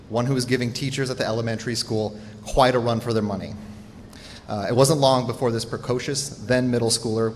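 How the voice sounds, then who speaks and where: Low-voiced man giving a formal introduction. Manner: formal, warm praise with occasional uh fillers. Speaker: teacher introducing a student. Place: large hall or gym, on stage. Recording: echoey hall with faint noise.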